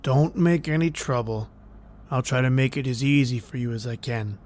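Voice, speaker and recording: A cold, controlling killer on the run played by a male actor in a vintage radio thriller. His voice is mid-pitched, and he talks in terse, tough-guy phrases with quiet menace. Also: normal speed, slight background noise, lightly acted. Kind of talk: old-time radio crime drama acting.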